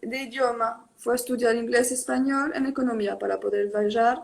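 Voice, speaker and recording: Guest: young woman, high voice, hesitant code-switching speech over a video call. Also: fairly even intonation, brisk, creaky. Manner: mixes Spanish and Portuguese, hesitant with many fillers. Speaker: tattoo artist guest. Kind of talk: casual online live interview.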